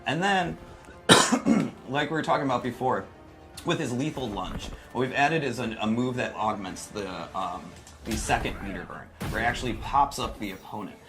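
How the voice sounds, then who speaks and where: A man's voice: medium pitch, moderate pace. An enthusiastic man presenting a video game demo in a casual commentary style. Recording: music playing behind the voice.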